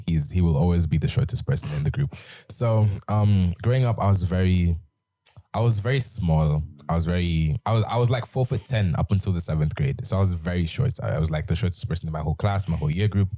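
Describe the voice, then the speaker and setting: A young man with a British accent and a very low, mellow voice tells a story from his life in an easygoing, rambling way. He restarts his sentences often, and the sound is close and dry. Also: no echo. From Britain. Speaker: host. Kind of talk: casual storytelling chat.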